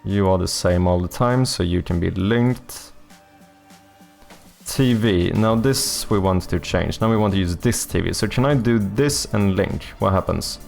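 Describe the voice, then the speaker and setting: A man's voice: sits low, a little slow, gravelly, a little mellow, faint music behind. A man from Sweden with a Swedish accent, streaming casually and thinking aloud as he works, with easygoing, sometimes sweary chat. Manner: thinks aloud, muttering while working. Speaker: streamer and game developer.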